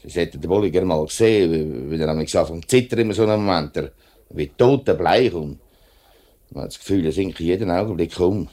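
A man's voice: deep voice, a little fast, warm tone. An actor playing a principled, plain-spoken workers' spokesman in a 1950s radio drama, earnest and firm, with a Swiss accent. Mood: indignant. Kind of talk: 1950s German-language radio drama acting.

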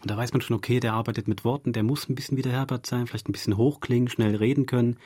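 Fast-talking, slightly husky man. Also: medium pitch, steady tone, darkish tone, dry recording, studio quality. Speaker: radio drama director, guest. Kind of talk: explanatory studio interview. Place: dry radio studio.

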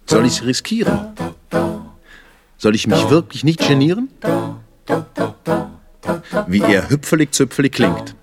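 A man giving a theatrical literary recitation in a playful manner, full of rhetorical questioning. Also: medium pitch. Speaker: stage performer reciting literary prose. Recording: loud music behind the voice. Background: instrumental music.

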